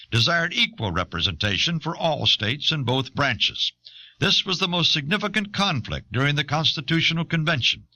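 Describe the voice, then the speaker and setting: Bright, forceful man narrating with lively intonation. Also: mid-range voice. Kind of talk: scripted documentary narration. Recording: old audio cassette.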